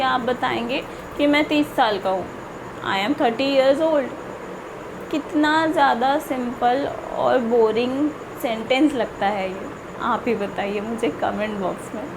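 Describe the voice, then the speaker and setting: A woman teaching a spoken English lesson, mixing in English example sentences that she reads out slowly and carefully. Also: high-pitched, noisy background. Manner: slow, deliberate example sentences with frequent "theek hai".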